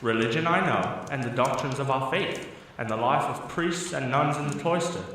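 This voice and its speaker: A man's voice: mid-range voice, normal speed, a bit bright, close to an everyday voice. A young man playing an eager, bookish young prince in an audio drama, speaking earnestly in formal, archaic phrases. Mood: earnest, puzzled. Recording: very echoey, distant mic, faint noise.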